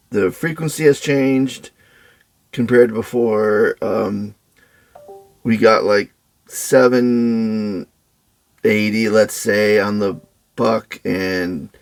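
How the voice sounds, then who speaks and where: Slow, gravelly low-voiced man thinking aloud. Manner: hesitant, halting, thinking aloud. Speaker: host of an electronics testing channel. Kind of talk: informal technical demonstration commentary.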